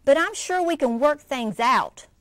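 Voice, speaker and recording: A woman voicing a gentle, loving mother raccoon from a storybook, soft and soothing. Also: sits high, lively intonation, unhurried, dry recording, pitched above the performer's own voice. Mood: reassuring.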